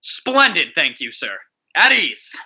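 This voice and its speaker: A young man acting a bombastic, deluded gung-ho commander in a high school radio play, giving clipped, pompous military orders. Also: huge pitch swings, slow, sharp tone, a little hiss or hum, full character voice. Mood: commanding, pompous.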